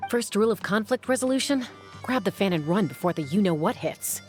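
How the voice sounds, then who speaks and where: A woman's voice: mid-range voice, animated, a little fast, lightly acted. An actress playing a cool, confident young woman warrior and peacemaker, wry and composed, in a video game. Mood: breezy, hurried. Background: background music.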